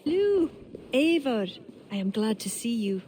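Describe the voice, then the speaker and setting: A woman's voice: a little high, very animated, slow. An actress with an Irish accent playing a witty young woman who serves as a royal fixer in a video game cutscene, with a teasing, wry delivery.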